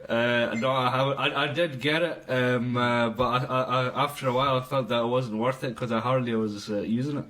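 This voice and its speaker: A man, an imam, answering podcast interview questions in a halting way with many fillers. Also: mid-range voice, steady tone, a bit bright, far from the mic.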